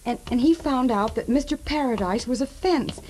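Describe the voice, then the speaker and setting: A woman's voice: high voice, moderate pace, darkish tone, natural voice. An actress playing an earnest, sweet young American woman in trouble, slightly hesitant, in 1940s radio drama style. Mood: earnest, confiding. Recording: faintly noisy vintage 1940s radio recording.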